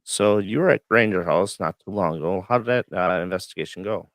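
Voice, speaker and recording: Deep-voiced hoarse man hosting. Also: a little fast, no room sound, studio quality. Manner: relaxed, rambling, many fillers. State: hoarse from the flu. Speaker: host. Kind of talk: casual livestream chat. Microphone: studio microphone.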